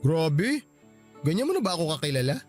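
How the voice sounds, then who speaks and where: A man playing a sheepish, soft-hearted husband in a Tagalog radio drama, hesitant and defensive. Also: on the high side, big pitch swings, fairly quick. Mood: nervous, hesitant. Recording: music bed under the voice.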